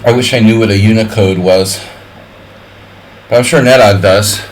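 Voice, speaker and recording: A man with a fairly low voice thinks aloud through a chess simul on his live stream, calling out moves between wry jokes and puns. A faint hum of room noise sits under his voice. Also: fairly even intonation, normal speed, rough recording. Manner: wry and offhand, chatting with viewers. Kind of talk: live-stream commentary while playing a simul.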